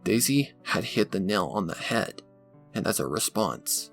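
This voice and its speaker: A man's voice: on the low side, expressive, unhurried, gravelly. A man reading scripted fan fiction as a dramatic narrator. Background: background music.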